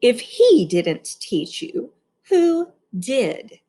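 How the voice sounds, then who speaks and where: A prim young schoolteacher, as a woman reads her aloud, pitched fairly high and drawn out. She is sugary and patronizing with children, emphasizing her words like a storybook lady. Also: sing-song, extremely slow, dark tone, exaggerated voice. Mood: good-natured. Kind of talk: read-aloud storybook character.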